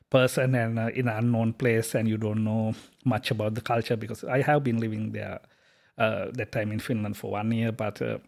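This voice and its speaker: A man, a software company founder talking casually in an interview, hesitant with many fillers and restarts. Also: lowish voice, creaky. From Sri Lanka. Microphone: podcast microphone.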